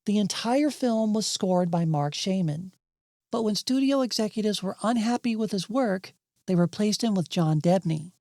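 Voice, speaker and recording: A woman's voice: mid-range voice, animated, normal speed, dark tone, no echo, clean studio sound. A woman hosting and narrating a scripted video essay. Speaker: narrator and host.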